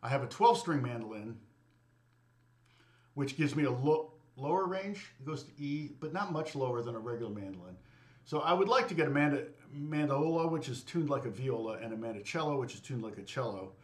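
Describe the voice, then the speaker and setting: A man's voice: mid-range voice, animated. A middle-aged man with a middle-range voice chats casually with his viewers on a music channel. He wanders from one thought to the next, breaks off his sentences and laughs lightly at his own remarks. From United States. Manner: rambling, self-interrupting, quick to laugh at himself. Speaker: guitar teacher and channel host chatting with live viewers. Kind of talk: casual livestream chat.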